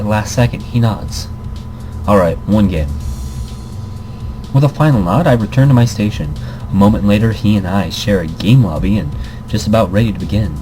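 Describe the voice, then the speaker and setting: Mellow-voiced man playing and reading a game. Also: fairly low pitch, poor audio. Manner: stumbles and repeats words while reading. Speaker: gaming video host. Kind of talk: casual let's play, reading visual novel text aloud with commentary.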